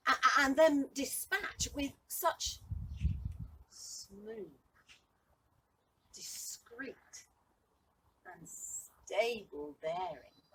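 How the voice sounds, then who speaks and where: A high-voiced, bewildered young man played by a woman marvels his way through a Shakespearean stage monologue. The delivery is halting and swings widely between hushed and exclaiming. It is picked up at a distance from the mic, with a little noise. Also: full character voice. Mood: puzzled reasoning.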